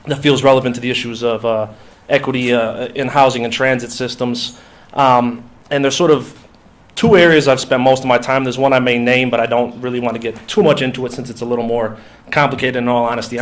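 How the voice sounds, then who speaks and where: A congested man with a nasal voice and a Minnesotan accent speaks at a meeting in a mid-range pitch. His delivery is halting, full of ums and restarts, as he walks an audience through his work as an organizer. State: congested from a cold. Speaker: coalition organizer. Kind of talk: conference talk. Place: foundation meeting room.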